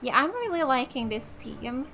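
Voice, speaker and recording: High-pitched, lively woman gamer from Finland. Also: normal speed, faint music behind. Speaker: gamer, let's play host. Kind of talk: casual gameplay commentary.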